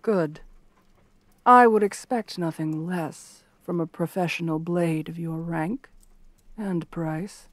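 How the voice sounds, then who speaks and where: A woman playing a stern, scheming royal guard captain in a fantasy roleplay, formal, measured and commanding. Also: on the low side, dry recording. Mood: cold, commanding. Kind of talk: scripted fantasy ASMR roleplay.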